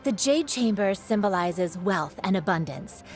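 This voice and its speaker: Friendly stall vendor; bright sales pitch, music clearly behind. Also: female voice, animated, unhurried. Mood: welcoming. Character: friendly young female kite stall vendor. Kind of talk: game voice acting.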